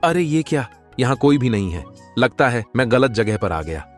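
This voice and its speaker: A man playing a worried village farmer and father, quick to doubt and frustration, in an animated moral story. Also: medium pitch, soft background music, lightly acted. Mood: puzzled.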